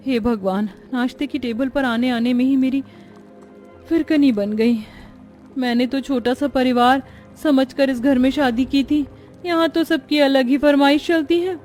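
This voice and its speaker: A woman's voice: high-pitched, measured pace, darkish tone, faint music behind. A woman voicing an overworked young daughter-in-law in a cartoon moral story, weary and complaining. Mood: weary grumbling. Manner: plaintive, complaining.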